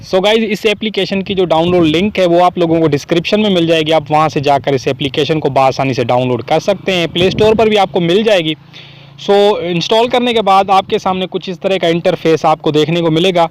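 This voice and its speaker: A man's voice: high voice, fairly quick, a little hiss or hum, low-quality recording. A man hosting a casual phone tutorial, explaining things step by step in a repetitive way to his viewers. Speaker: host of a phone tips video channel. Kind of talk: casual app tutorial to camera and screen.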